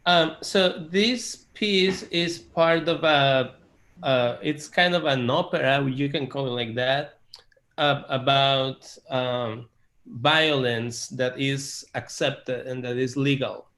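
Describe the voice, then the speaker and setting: Halting man, searching for words. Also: mid-range voice, steady tone, fairly bright tone. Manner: hesitant, many fillers, searching for words. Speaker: composer, guest. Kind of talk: casual interview talk.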